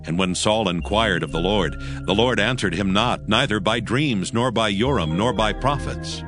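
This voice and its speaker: A man's voice: on the low side, moderate pace. A man narrating scripture from a script in a solemn style. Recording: music bed behind the voice.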